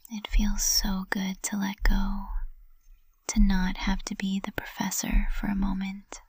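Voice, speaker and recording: A woman's voice: mid-range voice, vocal fry, darkish tone, breathy edge, dry recording, full character voice. A woman playing a lovestruck witch professor in an intimate ASMR roleplay, whispering tenderly and breathily, close to the microphone. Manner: slow, tender, intimate delivery. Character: lovestruck high witch professor, usually cold and composed, tender.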